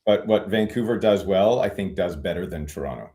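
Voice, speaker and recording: A man's voice: low-pitched, a little fast, dark tone. A man being interviewed about his long legal career, talking in a reflective, meandering way with many fillers and restarts. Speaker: guest, retired immigration lawyer. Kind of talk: conversational interview.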